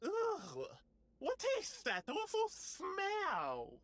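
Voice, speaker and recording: A woman's voice: extremely high pitch, wildly swooping pitch, very slow, exaggerated voice. A woman voicing a greedy, easily enraged female monster bandit in a video game, taunting and melodramatic. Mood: outraged.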